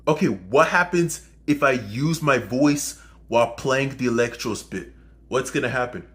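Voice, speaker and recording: A man giving a casual hands-on music gear demo to viewers, halting as he goes, often repeating and correcting himself. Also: medium pitch, fairly bright tone. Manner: halting, repetitive, self-correcting. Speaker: video host demonstrating music gear.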